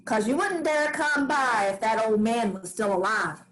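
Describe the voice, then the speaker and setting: A woman reads a hard-bitten farm matriarch in a fairly high voice. She answers in short, curt lines full of scorn. She is defiant and will not give an inch in her own home. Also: moderate pace, a bit bright, close to an everyday voice. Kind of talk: staged play reading.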